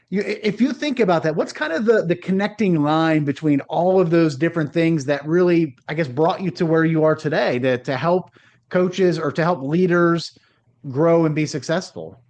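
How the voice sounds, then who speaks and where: A man's voice: on the high side, darkish tone. A man hosting a podcast interview, reading a polished introduction and then asking friendly questions. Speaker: podcast host.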